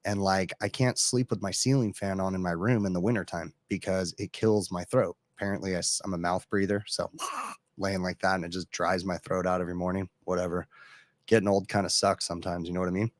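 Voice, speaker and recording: A man with a lowish voice, a little hoarse, rambling easily through a live stream with plenty of "um"s and "you know"s. The sound is dry and close, with no room around it. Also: fairly even intonation, fairly quick, no room sound. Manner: rambling and easygoing, many fillers. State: slightly hoarse. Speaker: HVAC service technician hosting a live stream. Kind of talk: casual live stream chat.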